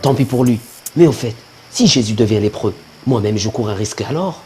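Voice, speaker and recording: Casting: male actor for greedy, cowardly traveler in an animated religious tale, shifty and excitable, music underneath. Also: on the low side, brisk, natural voice. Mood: panicked. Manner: sly muttered asides and jittery protests. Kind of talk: dubbed religious cartoon acting.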